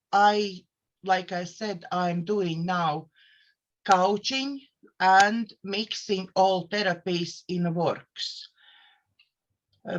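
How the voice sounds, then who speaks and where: A woman with a Latvian accent speaks slowly and deliberately, pausing on "uh" as she picks her English words. She introduces herself to viewers as a coach and therapist. The sound is a little dull and lo-fi. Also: mid-range voice. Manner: careful non-native English with hesitant fillers. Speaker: host, life coach and therapist. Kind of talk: self-introduction to camera.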